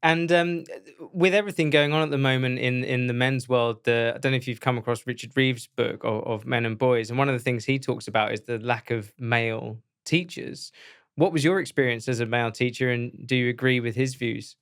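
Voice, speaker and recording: A man hosting a podcast, reading a scripted intro in a measured, earnest way before interviewing his guest. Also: mid-range voice, fairly even intonation, a bit bright, clear, resonant tone. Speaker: podcast host.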